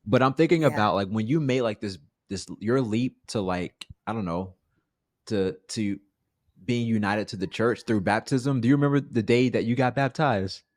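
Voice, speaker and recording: A man's voice: fairly low pitch, moderate pace, no room sound, studio quality. A man hosting a casual conversation in an easygoing way, often agreeing with his guest. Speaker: podcast host.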